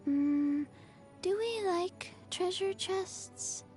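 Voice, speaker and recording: A woman voicing an undead, forgetful little girl in a video game, in slow, halting, flatly childlike short phrases. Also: high-pitched. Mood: dazed curiosity.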